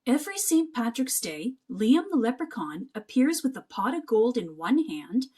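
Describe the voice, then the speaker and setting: A woman's voice: fairly high pitch, very animated, normal speed, a little mellow, breathy edge. A woman voicing a friendly young male mouse in a storybook, cheerfully welcoming and explaining things.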